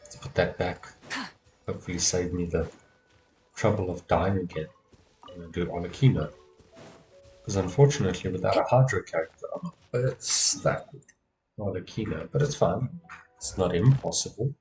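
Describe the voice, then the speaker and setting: A man with a fairly low voice gives casual live gameplay commentary over game music. He talks to himself, repeats little phrases and swears mildly as he plays. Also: normal speed, pauses often, a little mellow, poor audio. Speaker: video game streamer. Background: video game music.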